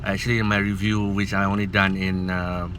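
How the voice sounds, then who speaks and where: Earnest Malaysian man with a bright voice. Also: sits low, level tone, fairly quick, a little hiss or hum. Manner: earnest, rambling, hesitant. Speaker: movie vlogger. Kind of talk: casual opinion vlog.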